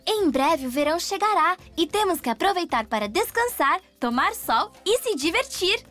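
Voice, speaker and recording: A woman's voice: very high-pitched, big pitch swings, normal speed, a bit bright, pitched above the performer's own voice, exaggerated voice. A woman voicing a carefree, bouncy cartoon cicada. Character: cheerful young female cicada, carefree.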